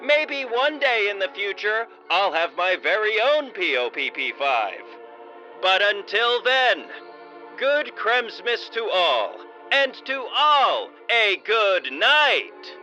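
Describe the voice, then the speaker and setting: A man as a bright, cheesy radio announcer. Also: high-pitched, big pitch swings, slow pace, highs cut off, soft background music, exaggerated voice. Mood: gushing, cheery. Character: over-enthusiastic cheesy radio serial announcer. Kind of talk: old-time radio announcing.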